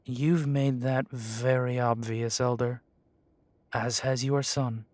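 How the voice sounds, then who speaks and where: A defiant young werewolf, voiced by a man, sarcastic and overly polite to the elders. He speaks very slowly and deliberately. The recording is dry and close, made for an audio drama. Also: medium pitch, level tone, darkish tone, no room sound, close to an everyday voice. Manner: slow, dry, mocking politeness.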